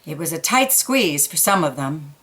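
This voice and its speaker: A woman reading a children's picture book aloud, pausing for quiet asides about the pictures. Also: sits low, sing-song. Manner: slow, gentle, pointing out pictures in quiet asides.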